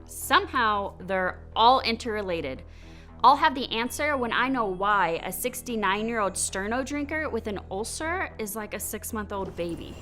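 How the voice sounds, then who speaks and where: A woman acts a female scientist in a radio play, with a fairly high voice over faint music. She is brisk and probing, quick to question and challenge whoever she is talking to. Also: lively intonation, pushed and bright. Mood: insistent, reasoning. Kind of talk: radio drama acting.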